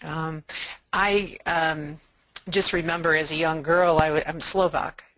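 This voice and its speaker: Woman, bright clear voice, dry close sound, reflective storytelling. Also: low voice, fairly even intonation, measured pace, no echo, rough recording. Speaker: webinar panelist, catechetical leader. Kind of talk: webinar discussion.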